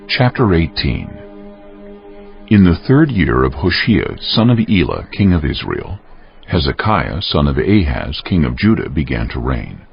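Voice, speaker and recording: A man narrating scripture from a script in a solemn, measured, dramatic storytelling style. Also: a bass voice, vocal fry. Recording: dull lo-fi audio, faint music underneath.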